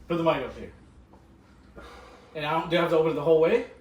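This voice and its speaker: A man hosting a casual podcast with friends, talking loudly and defensively, his voice jumping up when he protests. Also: on the high side, very fast, dull audio. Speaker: podcast host. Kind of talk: casual group podcast banter. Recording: roomy sound from a distant mic.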